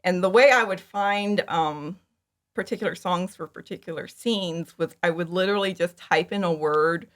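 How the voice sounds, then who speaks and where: A woman speaking as a guest in a casual interview, giggly, with animated asides and lots of "like". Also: lowish voice, moderate pace. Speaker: podcast guest, fan audio drama creator.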